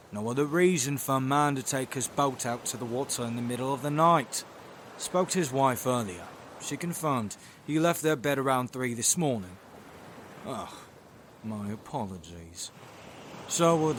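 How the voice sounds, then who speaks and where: An actor playing a curt, impatient detective inspector in an audio drama, brusque and authoritative. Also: male voice, medium pitch, fairly bright tone, a little hiss or hum, close to an everyday voice.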